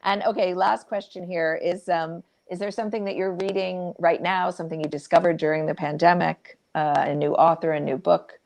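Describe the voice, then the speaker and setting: A woman's voice: on the low side, steady tone, a little fast, vocal fry, few pauses, a little mellow, a little hiss or hum. A woman moderating a conversational interview and putting questions to a guest.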